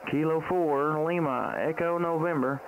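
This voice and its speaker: A middle-aged man hosting a casual amateur radio livestream, speaking close to a boom microphone. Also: steady tone, moderate pace. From Tennessee, United States. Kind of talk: casual ham radio chat and calling. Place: small indoor home radio room. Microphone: close boom microphone with headset. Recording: dull lo-fi sound, faint radio noise.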